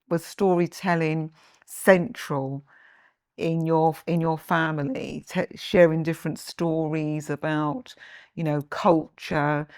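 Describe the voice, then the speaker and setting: A woman's voice: deep voice, darkish tone, clear, resonant tone, no echo, clean studio sound. A woman hosting an interview, reading an introduction and asking conversational questions with small restarts. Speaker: podcast host.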